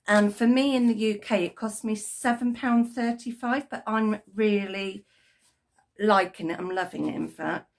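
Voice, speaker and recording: A British woman with a croaky, cold-roughened voice chats casually into a desk webcam from her yarn-filled craft room. She rambles a little, filling gaps with ums and correcting herself as she goes. Middle-aged and homely in tone. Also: mid-range voice. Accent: British English. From United Kingdom. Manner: chatty and rambling, lots of ums and self-corrections. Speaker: crochet vlogger. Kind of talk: casual chat to camera. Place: home craft room with yarn shelves, indoors. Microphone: webcam at desk, framed from the chest up.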